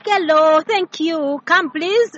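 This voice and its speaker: A woman's voice: very high-pitched, slow pace, a bit bright. A Ugandan woman hosting radio on location, presenting and interviewing at a live event. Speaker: radio host. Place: live event at a church gathering, ambience behind. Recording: dull sound with background noise.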